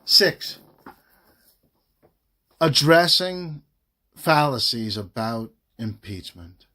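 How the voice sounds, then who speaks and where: A man reading a formal legal document aloud in a measured, emphatic way, pausing phrase by phrase. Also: medium pitch, animated, pushed and bright. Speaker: reader of a government report.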